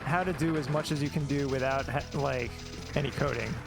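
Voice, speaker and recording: A man's voice: medium pitch, steady tone, a little fast, gravelly. A man in a casual discussion as a project team member, phrasing his thoughts hesitantly. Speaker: fan translation and dub team member. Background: game music and sound effects.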